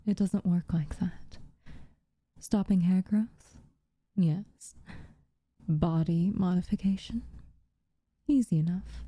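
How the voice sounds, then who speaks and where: A woman playing an aloof, teasing but secretly caring witch in a soft, breathy, intimate ASMR roleplay. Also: on the low side, no room sound. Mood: gently instructing. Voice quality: soft, breathy, often near a whisper. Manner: unhurried, hushed, dryly teasing.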